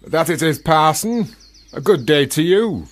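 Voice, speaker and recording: An actor playing a sly, philosophising gravedigger in a radio play, florid, teasing and mock-humble. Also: male voice, on the high side, expressive, unhurried. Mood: genial, evasive.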